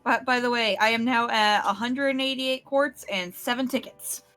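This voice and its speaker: A woman's voice: a little high, moderate pace, fairly bright tone, soft background music. A woman chatting casually as a co-player on a game livestream. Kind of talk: casual chat.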